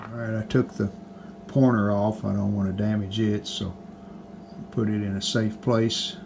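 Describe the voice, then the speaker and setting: A man's voice: on the low side, flat delivery, a little slow. A man giving casual, unscripted hands-on repair commentary, thinking aloud in short phrases as he works. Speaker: hobbyist radio restorer presenting a repair video. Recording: clearly noisy home-video sound.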